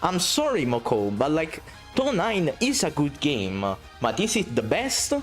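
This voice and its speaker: Excitable Italian-accented male game streamer. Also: fairly high pitch, measured pace, faint music behind. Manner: excitable, swearing, reading chat aloud. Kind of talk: casual gameplay commentary.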